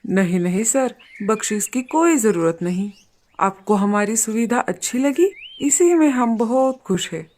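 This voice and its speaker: A woman's voice: fairly high pitch, lively intonation, unhurried, darkish tone. Woman putting on a courteous, earnest cartoon crow. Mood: humble, courteous. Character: polite, grateful male crow innkeeper Kalu and bird guests. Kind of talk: Hindi children's cartoon story voice acting.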